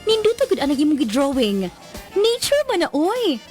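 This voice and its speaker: A woman's voice: high voice, big pitch swings, normal speed, a little mellow. A woman with a Visayan Filipino accent playing a cheerful, chatty young woman with an exclamatory, teasing manner in a radio drama. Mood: animated, chatty. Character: cheerful chatty young woman admiring her friend's drawing. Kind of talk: radio drama promo dialogue. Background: background music.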